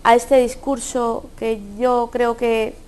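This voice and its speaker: A woman's voice: fairly high pitch, little pitch movement, slow pace, a little mellow, smooth. A woman from Ecuador with an Ecuadorian Spanish accent, speaking earnestly as a guest in a studio debate. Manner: earnest, speaks from personal experience. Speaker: panel guest.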